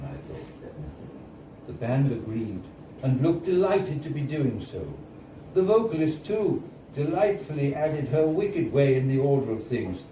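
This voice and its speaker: Man reading a story in a roomy, noisy venue. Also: medium pitch. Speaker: reader of a short story. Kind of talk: live literary reading of a first-person story. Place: indoors at a bar, live reading. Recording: roomy, distant, noisy live bar recording. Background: clear background noise and room ambience.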